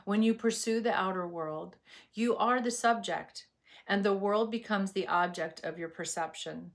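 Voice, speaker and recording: A woman teaching meditation in a scripted spiritual talk, with a soothing, measured and reverent delivery. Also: mid-range voice, gravelly.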